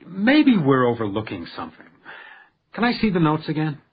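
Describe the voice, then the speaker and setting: A man's voice: on the high side, huge pitch swings, normal speed, slightly rough, muffled recording, heavily acted. A man playing a dutiful, stubborn hotel detective in a radio crime drama, plain-spoken and understated. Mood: confident, insistent.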